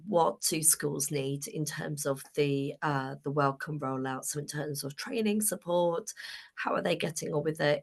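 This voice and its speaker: A woman with a cold and a hoarse voice, giving a chatty webinar presentation over a video call with many ums. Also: deep voice, fairly bright tone. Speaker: council commissioning lead presenting.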